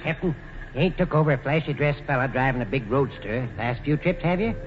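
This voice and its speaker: A man's voice: medium pitch, fairly quick, slight background noise, natural voice. A man acting an easygoing, rustic small-town lawman in a 1940s radio drama. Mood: confiding, affable. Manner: rustic, colloquial. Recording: muffled old radio broadcast.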